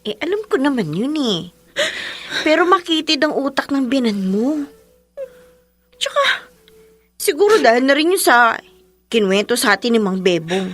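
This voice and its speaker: Filipino best friend in a radio soap, indignant n caring, animated voice, dry sound. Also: female voice, high-pitched, normal speed, pauses often, dry recording, heavily acted. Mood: sympathetic. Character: indignant, loyal young female friend. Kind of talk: Philippine radio drama acting. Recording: dry studio with music underneath.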